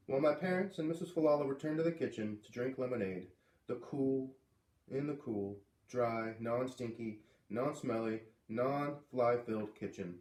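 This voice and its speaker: A man reading a children's book aloud, expressively, with dramatic pauses on key words. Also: medium pitch. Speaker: read-aloud reader. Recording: echoey room, distant microphone.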